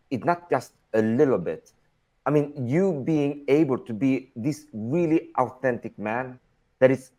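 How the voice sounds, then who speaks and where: A man of middle pitch speaks straight to his viewers as host. He leans on his words to stress a point, in a plain conversational tone. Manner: emphatic, addresses the audience directly. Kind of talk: conversational commentary.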